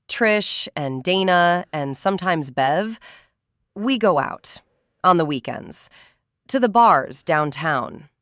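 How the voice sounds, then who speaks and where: A woman in her forties narrating a first-person story as a candid, conversational confession, with emphatic short phrases and pauses. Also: on the low side, fairly bright tone, dry recording, clean studio sound. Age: 40s.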